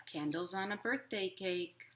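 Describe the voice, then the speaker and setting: A woman in her thirties hosting a storytime for young children at home, expressive and encouraging in simple words. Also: on the low side, measured pace, a little hiss or hum. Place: living room at home.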